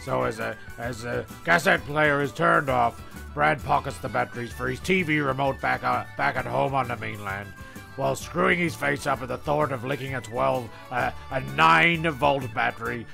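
Gravelly guy narrator, rambling thru a comedy script, faint music. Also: medium pitch, sharp tone. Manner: rambling, stumbling over the script. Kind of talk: loose comic scripted narration.

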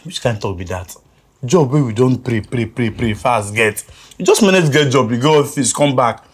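A Nigerian actor playing a talkative, scoffing friend who exclaims and repeats words for emphasis in film dialogue. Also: male voice, medium pitch, animated, moderate pace, slightly rough. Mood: grumbling. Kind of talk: comedic film dialogue in Pidgin.

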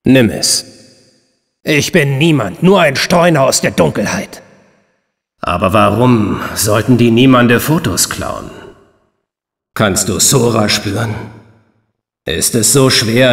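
Game role: commanding, enigmatic cloaked man, middle-aged, solemn and unhurried, heavy reverb. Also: mid-range voice, fairly bright tone. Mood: stern, questioning. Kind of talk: dubbed video game voice clips, dramatic acting.

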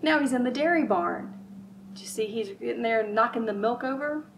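A middle-aged woman reading aloud at a children's storytime, expressive and sing-song, drawing out rhymes and putting questions to young listeners. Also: on the high side, normal speed, a little hiss or hum. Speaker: children's librarian, storytime host. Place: library room with bookshelves, indoors.

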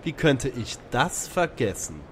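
Man as grumpy mid-pitched young detective. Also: big pitch swings, unhurried, a little hiss or hum. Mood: grumbling. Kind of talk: parody detective radio play.

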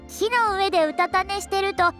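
An actress voicing a sweet, earnest young cat-spirit girl with a cute, guileless manner in a Japanese anime-style game. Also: very high voice.

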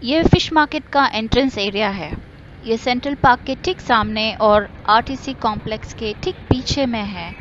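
A woman's voice: on the high side, normal speed, muffled recording. Chatty woman vlogging over music. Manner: chatty, enthusiastic, mixing Hindi and English. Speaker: vlogger host. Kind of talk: voice-over travel vlog narration. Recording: voice-over with clear background music.

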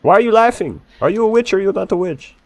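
A man improvising in character during a role-play game, excitable and swearing freely. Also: sits high, fast, no echo. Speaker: role-play player, bar owner character.

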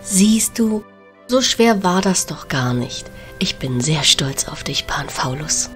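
A woman playing a scheming goddess mother in an audio drama, speaking in a smooth, persuasive way. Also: lowish voice, animated, slow, a bit bright, faint music behind. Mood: sweet.

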